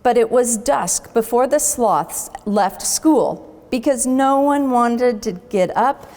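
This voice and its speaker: Unhurried, higher-voiced woman in echoing space. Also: a little mellow. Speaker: zoo educator reading a storybook aloud. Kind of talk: children's storytime reading. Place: animal barn at a zoo. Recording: long echoing hall reverb.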